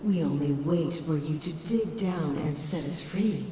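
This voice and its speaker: A woman's voice: low voice, a little slow, warm tone. A woman voicing a sinister, manipulative shadow creature in a comic dub, speaking in a coaxing, ominous manner. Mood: commanding. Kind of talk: dramatic comic dub acting. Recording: echoing hall reverb, distant, faint noise.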